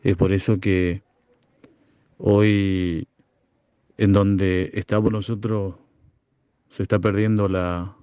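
A man from Chaco, a community broadcaster giving slow, halting, solemn testimony. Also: deep voice, little pitch movement, warm tone, clean tone, dry recording, highs cut off. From Chaco, Argentina. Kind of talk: recorded testimony.

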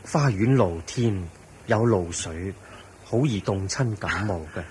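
A serene, fatherly Buddha speaks Cantonese-accented lines in a radio drama. The voice is low and very slow, patient and gently advising, over faint background noise. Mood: caring concern. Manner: unhurried, gently advising, measured. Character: the Buddha, a calm, caring, patient male teacher.

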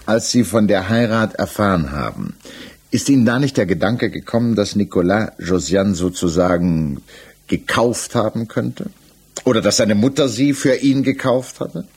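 A man's voice: on the low side, normal speed, a little mellow, breathy edge. An actor playing a probing, sceptical examining magistrate in a 1960s German radio play, formal and pointed in his questioning. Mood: sceptical, measured.

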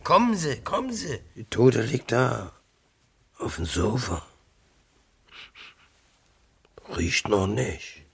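An actor in a radio play as a drunken, cranky, coarse tenant who rambles and jeers. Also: male voice, mid-range voice, wildly swooping pitch, takes it slowly, vocal fry, husky, exaggerated voice. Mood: agitated. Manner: blunt, coarse, jeering, swinging between barking and muttering. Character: drunken, cranky, rambling jobless male tenant, newly widowed. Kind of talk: radio drama acting.

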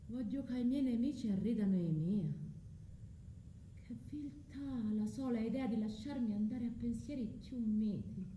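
Actress as anguished vengeful mother, high voice, declamatory radio tragedy, swinging from tenderness to fury, roomy echo. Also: normal speed, mellow, lightly acted. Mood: resolute, anguished. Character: Medea, anguished vengeful mother resolving to kill her children. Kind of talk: tragic stage monologue for radio theatre. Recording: roomy echo, faint background noise.

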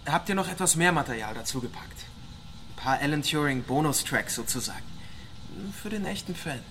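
An actor in a radio drama playing a mildly sceptical male colleague, casual and gently teasing. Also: on the high side, expressive, brisk, background noise. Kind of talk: naturalistic radio drama dialogue.

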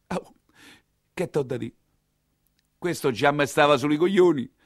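An actor playing a wry, earthy nineteenth-century coachman who tells his story in colloquial Roman, in a grumbling, expressive stage monologue. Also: a little high, unhurried, dry recording, clean studio sound. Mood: grumbling, animated.

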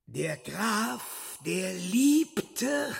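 An actor playing a brazen, rowdy talking tomcat in a radio drama, hissing and breaking into bawdy song. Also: male voice, sits high, big pitch swings, gravelly, husky, exaggerated voice. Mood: gleefully bawdy. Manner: hissing, drawn-out bawdy singing. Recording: loud music over the voice.

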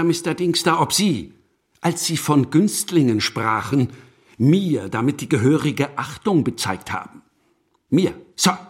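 An actor playing a pompous, menacing school principal in a German radio drama, speaking with mock politeness. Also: male voice, fairly high pitch, husky. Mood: haughty, threatening.

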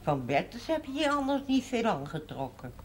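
Spirited village woman, high lively voice. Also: normal speed. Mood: frank. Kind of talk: naturalistic period TV drama acting. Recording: 1970s TV audio with faint noise.

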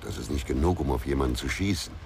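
Gruff investigator, extremely low gravelly voice, brooding and terse, radio crime drama with some background noise. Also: male voice, quick pace, a little mellow. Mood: terse, insistent. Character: gruff, brooding male investigator, haunted by a lonely childhood.

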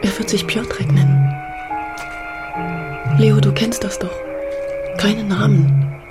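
A woman's voice: medium pitch, fairly even intonation, slow pace, natural voice. An actress playing a resolute, serious woman with controlled delivery in a radio drama. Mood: urgent, guarded. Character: Lilia, resolute Polish woman who helped fugitive escape. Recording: loud music behind the voice.

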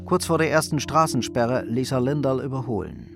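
An actor as the dry, detached narrator of a German radio crime drama, reading scripted narration. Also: male voice, mid-range voice, sing-song, brisk, creaky. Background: plucked guitar music.

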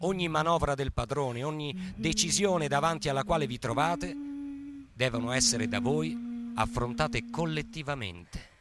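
A man playing a militant narrator, declaiming in radio theatre with rhetorical urgency and deliberate phrasing. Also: on the high side, sing-song, background noise. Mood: stern, exhorting. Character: militant narrator proclaiming leaflets and chronicling the workers' revolt.

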